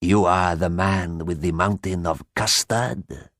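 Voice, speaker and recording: A man voicing a mysterious, scheming woman in a storybook, with a husky, silky voice and a foreign accent. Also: sits low, steady tone, fairly bright tone, no room sound, clean studio sound. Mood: seductive, mysterious. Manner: silky, slow, deliberate.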